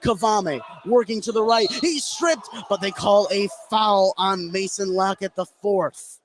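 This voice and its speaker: An American man calls basketball play-by-play on the radio in a fairly high voice. He follows the action closely and gets excited on the big plays. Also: unhurried, crowd noise. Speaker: play-by-play announcer.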